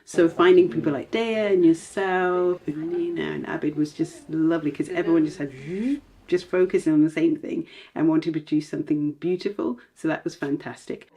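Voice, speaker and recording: A woman's voice: deep voice, steady tone, fairly quick, mellow. A woman, an artist, talking casually and unhurriedly about meeting other artists. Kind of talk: casual conversation.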